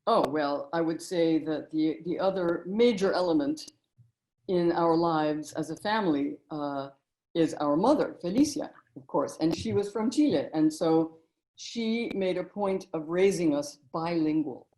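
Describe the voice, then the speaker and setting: A middle-aged woman talking in a relaxed interview, telling stories and memories as the guest. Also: low-pitched, measured pace, low-quality recording. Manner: warm, storytelling reminiscence with frequent "you know". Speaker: guest, author and narrator.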